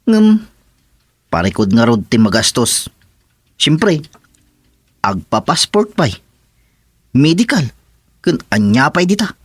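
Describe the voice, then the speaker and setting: An actor playing a resigned, hard-up rural farmer in an Ilocano radio comedy drama, with an Ilocano accent. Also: male voice, medium pitch, sing-song, slow, pauses often, slightly rough, dry recording, clean studio sound, heavily acted.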